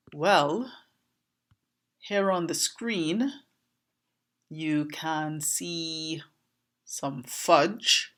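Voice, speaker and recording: A woman with a Trinidadian accent and a slightly hoarse voice, teaching informally in a deliberate, questioning way, like an educator talking to viewers. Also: sits low, fairly bright tone. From Trinidad and Tobago. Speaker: language educator, presenter. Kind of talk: informal explanatory lesson to camera.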